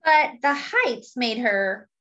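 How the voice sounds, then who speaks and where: A woman reading a picture book aloud to young children and commenting on the pictures, expressively and with pauses for emphasis. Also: a little high, sing-song, fairly bright tone, highs cut off. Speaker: children's storybook reader.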